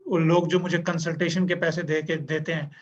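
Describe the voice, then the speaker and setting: A man's voice: on the high side, level tone, moderate pace. A man hosting a casual online interview, chatty and agreeable, with joking asides. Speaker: host. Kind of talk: casual Urdu-English interview. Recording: dull lo-fi sound with faint noise.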